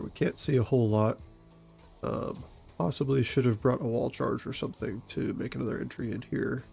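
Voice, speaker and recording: A man with a stuffy, congested voice giving calm, methodical commentary on a tactical game. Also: mid-range voice, gravelly, slightly rough. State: stuffy from a cold. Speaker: gaming channel host and SWAT operator.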